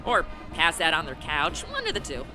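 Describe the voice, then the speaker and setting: A woman's voice: low voice, wildly swooping pitch, normal speed, pushed and bright, soft background music, full character voice. A woman acting a sardonic, irritable, hard-drinking publicist in a comic radio play, with slangy, sarcastic delivery. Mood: wry, jaded.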